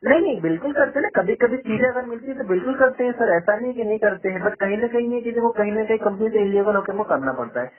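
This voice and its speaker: A man making an insistent, repetitive sales pitch over the phone, often adding tag questions. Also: lively intonation, fast talker, few pauses. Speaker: trading company sales caller. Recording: muffled band-limited phone call recording.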